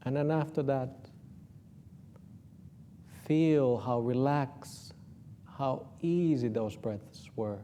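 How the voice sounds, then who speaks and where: A man from Finland with a Finnish accent, a Buddhist monk leading a guided meditation in an informal, gently joking way with soft, slow guiding phrases. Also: mid-range voice, a little hiss or hum.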